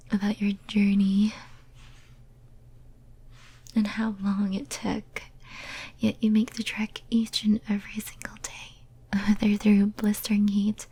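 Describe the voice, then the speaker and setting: A woman playing a lonely, obsessive female demon in a whispered ASMR roleplay, speaking softly and breathily in a tender, intimate, hesitant way. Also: medium pitch, slightly rough. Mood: yearning, resentful.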